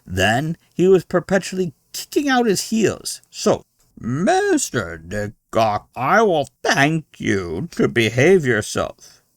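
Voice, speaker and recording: A man's voice: on the high side, wildly swooping pitch, slow, no room sound, pitched above the performer's own voice, exaggerated voice. Guy playing a showy tall french gent, ceremonious, voice jumps all over, emphatic storybook style. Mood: animated anecdote. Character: tall theatrical Frenchman, animated, ceremonious dinner-party storyteller. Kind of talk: storybook character voice.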